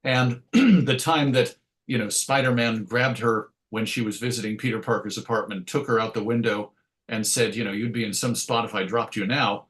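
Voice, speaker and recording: A man speaks as the guest in an interview, in a middle-pitched voice. He talks conversationally and thoughtfully, working through his explanations and often reaching for an analogy. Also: steady tone, normal speed, fairly bright tone. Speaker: interview guest, writer and radio host.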